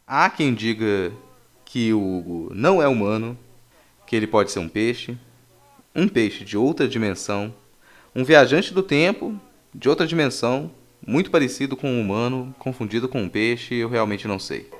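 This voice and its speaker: A man's voice: medium pitch, animated, normal speed. A man with a Brazilian accent hosting a podcast casually, with joking, mock-serious asides. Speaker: podcast host. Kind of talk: casual, playful podcast presentation.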